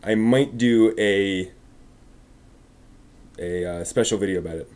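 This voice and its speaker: A man presenting news as a live stream host in an informal way, with somewhat halting delivery. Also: on the low side, darkish tone.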